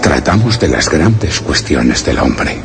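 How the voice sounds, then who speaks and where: An actor playing a solemn, anxious chronicler in a radio horror drama, grave and formally literary, his phrase endings turning breathy. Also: rumbling low, very animated, slow, heavily acted. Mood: solemn, confiding. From Spain. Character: solemn, anxious male chronicler of a mysterious encounter. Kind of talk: radio horror drama, first-person narration of a written account. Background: music.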